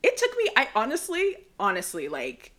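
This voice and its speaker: A woman's voice: on the high side, wildly swooping pitch, moderate pace, a bit bright. A young woman from the Bahamas, co-hosting a casual podcast chat, rambling and leaning on "like" a lot. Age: young adult. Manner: chatty, rambling, heavy use of "like". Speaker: podcast co-host.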